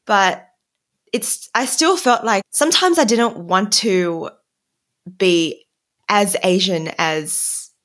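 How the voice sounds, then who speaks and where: A woman's voice: medium pitch, slow pace. Woman hosting a casual podcast. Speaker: podcast host.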